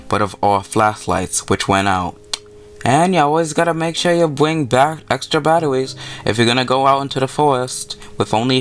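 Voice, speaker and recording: A man hosting a let's play, reading a visual novel aloud with casual commentary and sometimes stumbling over his words. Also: medium pitch.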